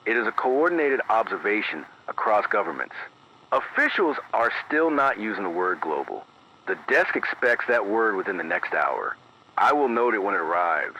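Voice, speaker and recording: Low, grave male radio newsreader, muffled signal. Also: bright, forceful tone. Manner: measured, deliberate, grave, clipped official phrasing. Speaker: radio news anchor in a fictional emergency broadcast. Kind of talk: scripted emergency radio news bulletin. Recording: muffled AM radio sound, background static.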